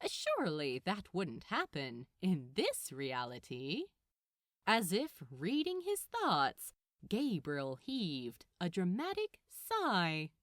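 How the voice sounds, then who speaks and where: A woman narrating a story from a script in an expressive audiobook style, recorded close and dry. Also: on the low side, slow, dry recording. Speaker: audiobook narrator of fan fiction.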